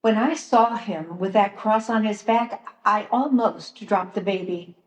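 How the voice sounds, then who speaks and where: A woman playing a sorrowful, troubled grandmother in a solemn Passion drama monologue, pausing long between phrases. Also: mid-range voice, lightly acted. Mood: troubled, grieving. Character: sorrowful grandmother in occupied ancient land, a troubled bystander. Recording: roomy echoing church sound with music.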